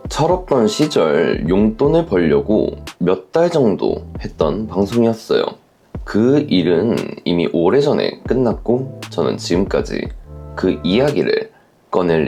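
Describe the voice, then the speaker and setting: A man narrates in a very low register with a creaky grain and lively rise and fall. He sounds earnest and polite as he gives measured, reflective commentary like a host reading listener letters. Soft instrumental music sits behind him.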